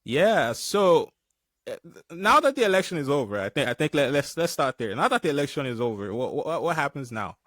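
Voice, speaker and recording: A man's voice: fairly high pitch, big pitch swings, a little fast, fairly bright tone, dry recording, studio quality. Enthusiastic African-born male podcast host. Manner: enthusiastic, repeats words when starting questions. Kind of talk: casual podcast hosting.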